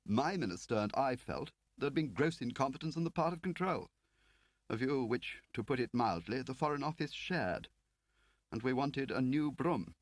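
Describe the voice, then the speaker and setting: A man's voice: medium pitch, expressive, normal speed, no echo, voice pushed up, lightly acted. A man voicing a haughty, pompous senior government official, in an audiobook. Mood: defensive. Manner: pompous, drawling emphasis. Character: haughty, fussy senior government official, upper-class Englishman.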